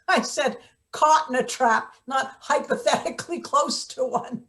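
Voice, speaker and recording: A sardonic older forewoman played by a woman in a satirical stage play reading. Her voice sits fairly high, and she talks in dry, wordy sarcasm with a hard, bossy edge. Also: takes it slowly, fairly bright tone. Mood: sardonic, scolding. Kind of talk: live table read of a satirical play.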